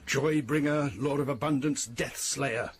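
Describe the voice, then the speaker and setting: A man's voice: medium pitch, steady tone, measured pace, a little hiss or hum, lightly acted. A man playing a proud, commanding ancient Greek hero in a historical audio drama, declaiming with hunger for fame. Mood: exultant.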